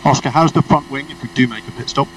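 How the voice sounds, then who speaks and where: A man's voice: mid-range voice, quick pace. Man race engineer on noisy team radio. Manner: clipped, procedural, instructive. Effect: team radio transmission. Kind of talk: motorsport team radio instructions. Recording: noisy distant radio, car and engine noise.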